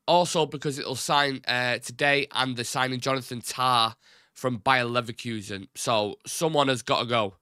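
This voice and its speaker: Bunged-up nasal man, bright voice. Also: medium pitch, clean tone, no room sound, clean studio sound. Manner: rambling, chatty, informal. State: congested, blocked nose. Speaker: football channel host. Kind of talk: casual live-stream chat.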